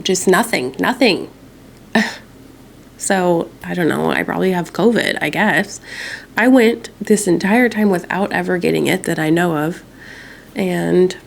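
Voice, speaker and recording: A woman's voice: medium pitch, gravelly, a little mellow, a little hiss or hum. A young woman vlogging casually from home, chatting in a rambling way with a stuffy, congested nose. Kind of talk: casual chat to camera.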